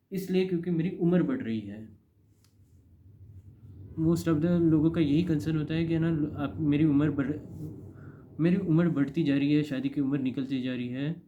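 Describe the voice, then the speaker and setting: An Indian man in his thirties giving a casual, confessional monologue to camera, rambling and repeating phrases for emphasis. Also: a little high. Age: 30s. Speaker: vlogger. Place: indoor room. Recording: dull, lo-fi.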